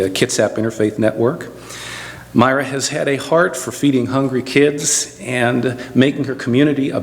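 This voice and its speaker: A man speaking as a pastor, giving an informal, halting introduction from the pulpit. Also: mid-range voice. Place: church sanctuary. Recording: long church-hall reverb.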